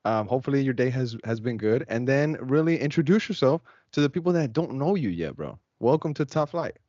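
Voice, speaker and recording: Upbeat slangy podcast host, lo-fi. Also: male voice, mid-range voice, expressive, a little fast, dark tone, dry recording. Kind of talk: casual chat. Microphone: video call. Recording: dull lo-fi sound.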